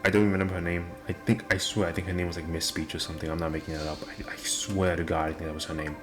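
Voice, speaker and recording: A young man with a deep voice and nonstandard R sounds, talking casually and self-deprecatingly to the camera. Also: little pitch movement, racing. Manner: rambling, self-deprecating, many restarts. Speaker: vlogger. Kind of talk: casual rambling monologue. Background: video game music playing.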